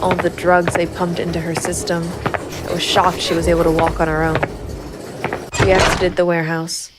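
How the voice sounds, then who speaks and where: A woman's voice: on the low side, level tone, moderate pace, very noisy, natural voice. A woman playing a cold, composed vigilante investigator, narrating a gritty noir crime audio drama in a controlled, stern way. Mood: determined, sombre. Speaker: narrator and lead character.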